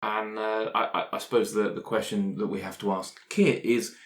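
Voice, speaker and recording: A man's voice: lowish voice, steady tone, normal speed. A man playing a tabletop roleplaying game in conversation, hesitantly repeating his words as he goes. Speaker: player in an actual-play podcast.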